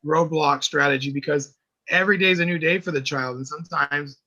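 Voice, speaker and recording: A man with a raspy voice who works as an early intervention teacher, talking earnestly in a casual podcast chat and often repeating words as he finds his point. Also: a little high, fairly even intonation, a little fast, pushed and bright, dull audio.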